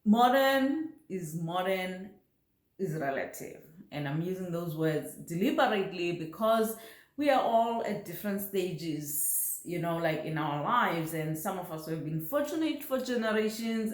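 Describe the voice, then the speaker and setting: A woman's voice: lowish voice, animated, unhurried. A middle-aged woman with a South African accent talks casually and reflectively to camera, explaining things like a parenting vlogger. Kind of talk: casual personal talk to camera. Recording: roomy, echoey home recording.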